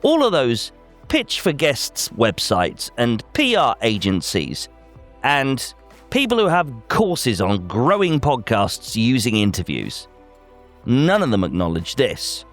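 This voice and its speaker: Animated man, wry podcast host. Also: medium pitch, takes it slowly, faint music behind. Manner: wry, emphatic, direct address to listener. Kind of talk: scripted podcast commentary.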